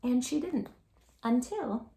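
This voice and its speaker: A woman hosting a children's storytime, speaking in an animated, gushing way straight to young listeners as she chats and reads aloud. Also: high voice, slow, gravelly. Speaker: children's storytime host and creator of the channel. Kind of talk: children's read-aloud and direct-to-viewer chat.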